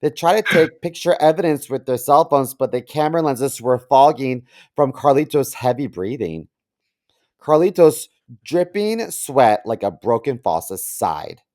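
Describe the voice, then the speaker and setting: A man's voice: mid-range voice, expressive, a little slow, darkish tone. A man co-hosting a podcast, reading a comic story aloud and chatting casually. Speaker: podcast co-host.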